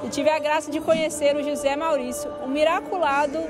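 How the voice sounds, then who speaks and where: A woman hosting her own channel, asking her guest questions in quick succession at a trade fair. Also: sits high, little pitch movement. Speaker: host and interviewer. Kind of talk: vlog interview. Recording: loud music behind the voice.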